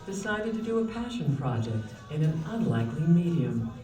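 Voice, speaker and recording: A narrator reading a promotional case study in a smooth, even scripted delivery. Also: medium pitch, normal speed, distant mic, over music. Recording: video played into an echoey hall, heard from afar.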